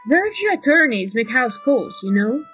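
Actress doing a deadpan lady lawyer, slightly high voice, muffled lo-fi sound. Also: normal speed, soft background music. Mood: wry. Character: dry, patient woman attorney. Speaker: attorney character. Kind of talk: audio drama acting.

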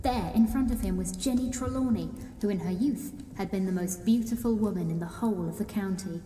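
A woman's voice: mid-range voice, brisk, dark tone, slight background noise, lightly acted. A woman playing a wry, dramatic storyteller narrating an audio drama. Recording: reverberant, distant, music behind.

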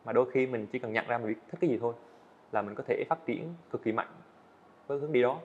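Chàng trai trẻ nói nhanh, giọng hơi đục. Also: male voice, mid-range voice, phone-quality sound, slight background noise. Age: young adult. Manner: earnest, fast-talking, frequent small restarts. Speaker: student animator guest. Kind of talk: casual interview answers. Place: indoor studio set.

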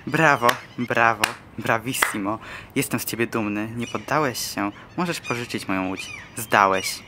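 A man's voice: mid-range voice, normal speed, background noise, close to an everyday voice. A young man playing a haughty, mocking figure of envy in a radio play. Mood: dry approval. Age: young adult. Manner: mocking, scornful. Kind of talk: amateur radio play acting.

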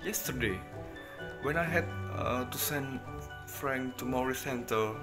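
Young man as slow, halting sheriff. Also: medium pitch, lightly acted. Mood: halting, matter-of-fact. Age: young adult. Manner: halting, hesitant reading of lines. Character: Henry Peters, small-town sheriff reporting on the scene. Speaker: student actor in a class play. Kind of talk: amateur stage-play acting. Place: indoor kitchen. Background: music.

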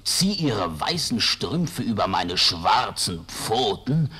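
A man's voice: fairly low pitch, very animated, pushed and bright, husky, heavily acted. An actor playing a sly, gluttonous wolf in a fairy-tale radio play, savoring his words theatrically. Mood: gleeful, scheming. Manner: slow, savoring, theatrical delivery. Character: gluttonous, sly big bad wolf, gloating. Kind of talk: 1970s German fairy-tale record acting. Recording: noisy old vinyl record.

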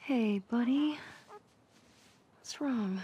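A woman playing a weary, gentle young survivor in a video game cutscene, with a soft, understated voice. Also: a little high, drawn-out words, lo-fi sound, a little hiss or hum. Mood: gentle, tired. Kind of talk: naturalistic game cutscene acting.

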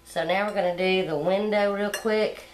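A middle-aged woman hosting a cooking channel, explaining step by step how to decorate a sugar egg at home in a relaxed, homey way. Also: mid-range voice, normal speed, reverberant. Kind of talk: hands-on decorating tutorial.

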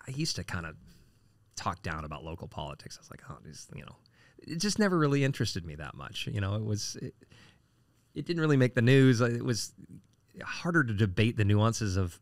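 Low-voiced man, rambling candid answers. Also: dry recording. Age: 40s. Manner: rambling, frequent fillers and restarts. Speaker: city council candidate. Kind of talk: casual interview answers.

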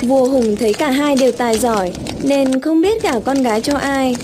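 A woman's voice: high-pitched, normal speed, noisy background. A woman storyteller reading scripted narration of a tale for children. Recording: loud music under the voice. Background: music.

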